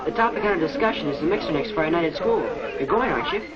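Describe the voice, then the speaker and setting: A young male actor playing a breezy, sociable high school boy with an American accent in a 1940s educational film. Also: animated, rapid-fire, close to an everyday voice. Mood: breezy, coaxing. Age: teenager. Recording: muffled, distant, loud crowd chatter behind.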